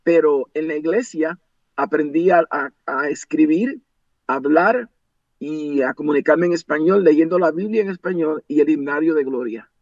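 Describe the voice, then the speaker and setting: A man in his sixties, a pastor born in the United States whose native language is English, calmly recalling his childhood as an interview guest. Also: a little high, vocal fry, dry recording. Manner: measured, reflective recollection. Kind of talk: interview, personal storytelling. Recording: dull dry lo-fi audio.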